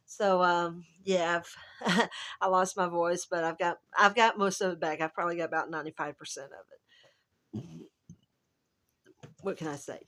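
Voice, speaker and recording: A woman with a hoarse, gravelly voice that is still recovering, chatting casually and rambling to camera at home as a travel vlogger. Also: on the low side, steady tone, normal speed, pauses often, fairly bright tone. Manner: rambling, chatty, self-interrupting. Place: at home, indoors.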